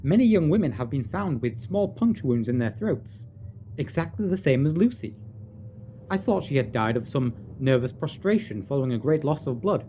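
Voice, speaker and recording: An actor playing an educated, sceptical gentleman in a radio drama, formal in speech and quick to indignation. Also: medium pitch, very animated, narrow, tinny audio, slight background noise, lightly acted. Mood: alarmed, incredulous. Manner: formal, incredulous.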